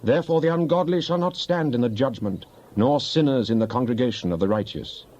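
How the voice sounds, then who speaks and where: A man's voice: mid-range voice, fairly quick, a little mellow. A man giving a solemn, declamatory dramatic recitation of psalms as a scripture reader. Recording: dull lo-fi, faint background noise.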